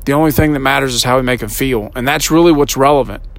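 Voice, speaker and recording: A man with a slightly hoarse voice, hosting a solo motivational podcast, earnest and repeating himself, often asking "right?" and slowing down to stress a point. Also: mid-range voice. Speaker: podcast host.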